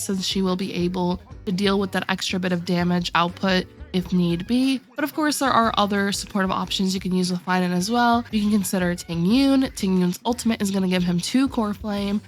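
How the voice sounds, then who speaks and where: A woman with a mid-range voice, a little rough from a sore throat, hosts a gaming commentary video. She explains character placements in a steady, methodical way. Faint music sits quietly underneath her voice. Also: medium pitch. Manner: steady explanatory walkthrough, addressing viewers directly. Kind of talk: game tier list commentary.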